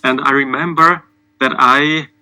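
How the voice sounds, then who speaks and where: A man from Switzerland speaking as a panelist, giving an informal personal account in a halting, self-deprecating way. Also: medium pitch, fairly even intonation, bright, forceful tone, clear, resonant tone, rough recording. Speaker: panelist, sports lawyer.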